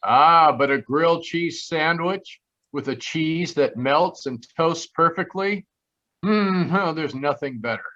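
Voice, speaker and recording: A man delivers a scripted, old-time radio commercial as a pitchman. His voice is bright and bouncy, fairly high, with a slightly dull recording. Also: takes it slowly. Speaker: sponsor pitchman. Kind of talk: 1940s-style radio ad banter.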